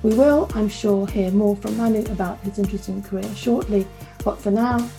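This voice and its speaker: A woman's voice: mid-range voice, normal speed, gravelly, warm tone, soft background music. A woman hosting a podcast, introducing her guest and asking interview questions. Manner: reads a scripted guest introduction. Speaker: podcast host.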